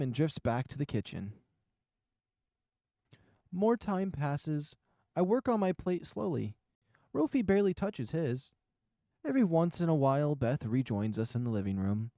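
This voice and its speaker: A man's voice: fairly high pitch, dark tone, dry recording, clean studio sound. A man reading scripted first-person narration from a visual novel.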